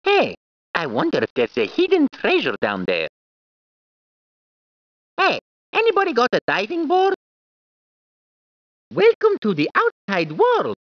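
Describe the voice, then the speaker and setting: A man's voice: extremely high pitch, wildly swooping pitch, a little slow, pauses often, no echo, heavily acted. A man voicing a cheerful, clowning cartoon plumber in a video game, with a put-on Italian accent, squeals and laughs. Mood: playful. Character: cheerful plumber man, jokey, eager and bouncy. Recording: dull, dry game audio.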